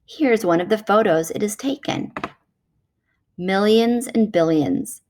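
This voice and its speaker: A woman narrates a children's nonfiction book aloud. Her voice sits at a medium pitch, and she reads in a measured, explanatory manner suited to young listeners. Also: darkish tone.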